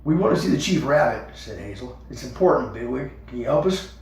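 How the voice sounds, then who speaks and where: A man voicing a level-headed young male rabbit who talks in a calm, reasonable way. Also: medium pitch, brisk, vocal fry. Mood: doubtful, earnest. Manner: calm, reasonable, a little doubtful. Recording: echoey room, far from mic.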